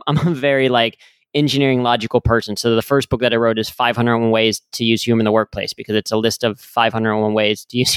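A man's voice: medium pitch, fairly bright tone, clear, resonant tone, dry recording. A man co-hosting a podcast, chatting casually and self-deprecatingly with a laugh in his talk. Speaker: podcast co-host.

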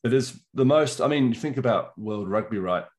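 A man's voice: mid-range voice, expressive, moderate pace, darkish tone. A middle-aged man raised in England, talking eagerly as a guest in a casual chat. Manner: chatty, enthusiastic storytelling.

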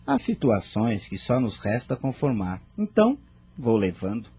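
An actor playing a polite, lovelorn young widower in a radio soap opera. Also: male voice, mid-range voice, wildly swooping pitch, measured pace, darkish tone, slightly rough, exaggerated voice. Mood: resigned, amiable.

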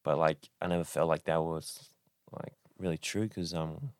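A man's voice: rumbling low, steady tone, normal speed, clear, resonant tone, dry recording, studio quality. A young man speaking as a podcast guest in an easygoing, laid-back way. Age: young adult. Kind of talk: casual chat.

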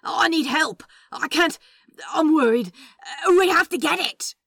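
A woman playing an anxious, soft-hearted man in an audio story, earnest and hesitant. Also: sits high, expressive, a little slow, gravelly, dry recording, clean studio sound, exaggerated voice. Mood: urgent, worried. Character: anxious, soft-hearted man excited over a stray kitten. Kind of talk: audio drama acting in fan fiction.

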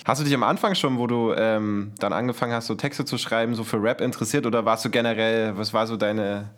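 A man's voice: lowish voice, steady tone, fast. A man interviewing a musician, asking brief casual questions and giving short agreements.

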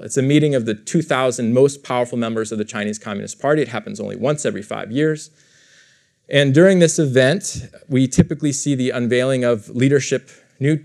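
Male professor, gravel in the voice, talks an audience through the material, corrects himself as he goes. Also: medium pitch, darkish tone. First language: English. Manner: explanatory, self-correcting, with frequent fillers and repeats. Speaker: professor giving a lecture. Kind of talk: academic lecture to a live audience. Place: lecture room.

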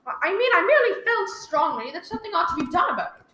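A woman's voice: very high voice, sing-song, fast, pushed and bright, exaggerated voice. A woman playing an anxious, imperious, bedridden wife in a radio thriller, stammering and easily exasperated. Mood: flustered. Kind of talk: old radio thriller acting. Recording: roomy echo, live one-take recording.

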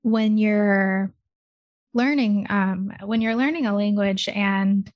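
A woman's voice: mid-range voice, steady tone, takes it slowly, a little mellow, no echo. Brief: woman, twenties, from Michigan, thoughtful casual talk with frequent fillers, dry close mic. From Michigan, United States. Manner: earnest, thoughtful, many "like" fillers. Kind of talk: casual conversation.

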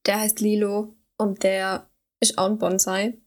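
A young woman co-hosts a relaxed, informal podcast. She speaks at a middling pitch for a woman, in an everyday conversational way. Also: measured pace. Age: young adult. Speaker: podcast co-host. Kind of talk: casual chat.

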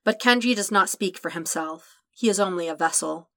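A woman reading fan fiction aloud as a podfic, in a calm, measured narration. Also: lowish voice, no room sound. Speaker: podfic reader.

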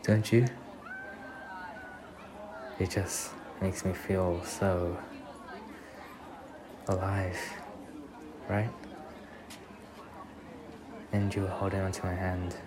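A man acting as a devoted young boyfriend speaks in a very low, soft voice. His delivery is intimate and unhurried, protective and tender, in the style of an ASMR date roleplay. Clear ambient noise sits behind the voice. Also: level tone, a crowd in the background. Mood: tender, wistful. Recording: clearly noisy recording with ambient sound.

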